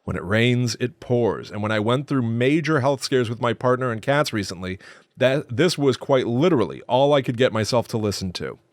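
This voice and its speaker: A man's voice: mid-range voice, expressive, normal speed, dry recording, clean studio sound. A man co-hosting a podcast in a casual, chatty way. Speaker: podcast co-host.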